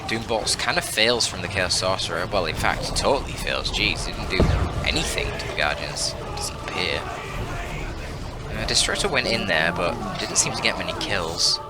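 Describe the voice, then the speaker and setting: A man's voice: deep voice, lively intonation, normal speed, loud music behind the voice. A man with a bunged-up voice giving casual, sweary, rambling commentary on a video game match with joking asides. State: congested, bunged-up voice.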